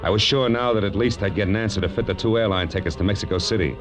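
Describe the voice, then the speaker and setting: An actor playing a wry, tough private detective narrating his case in clipped, deadpan 1940s radio drama style. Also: fairly low pitch, racing, lightly acted. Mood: wry, tense narration. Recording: vintage radio recording with music behind.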